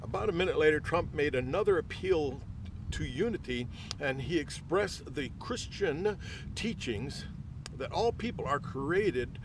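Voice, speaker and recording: Older male vlogger, earnest and emphatic, recorded outdoors with clear ambient noise. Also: fairly high pitch. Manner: earnest, reads quotes aloud with emphasis. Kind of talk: casual outdoor video talk. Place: open field outdoors by a tower. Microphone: camera at arm's length.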